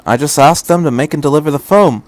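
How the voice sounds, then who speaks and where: A man voicing a breezy, commanding doctor in a story reading, brisk and casual. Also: on the high side, close to an everyday voice. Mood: breezy, prodding. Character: breezy, commanding male time-travelling doctor.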